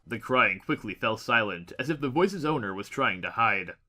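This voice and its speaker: A man reads scripted fiction as a dramatic audiobook narrator. His voice sits at an average male pitch and has a bright, forceful tone. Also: lively intonation, moderate pace.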